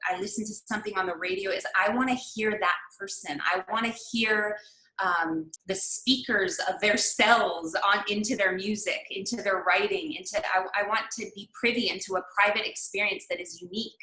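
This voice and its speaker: Bright-voiced woman on an echoey call. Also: mid-range voice, steady tone. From United States. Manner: reflective, searching, with frequent pauses and fillers. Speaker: workshop leader. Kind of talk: online master class talk. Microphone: video call. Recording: echoey room.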